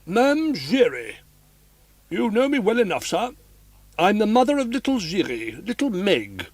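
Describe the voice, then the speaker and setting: A man's voice: high-pitched, huge pitch swings, unhurried, dry recording, pitched above the performer's own voice, full character voice. A man voicing a chatty, superstitious older woman who works as a theatre boxkeeper, talking earnestly. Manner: earnest, gossipy.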